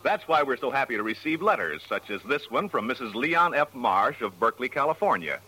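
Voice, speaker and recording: Bright, forceful 1940s radio announcer man. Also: mid-range voice, big pitch swings, brisk, slightly rough, rough recording. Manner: polished, formal delivery. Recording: vintage radio broadcast.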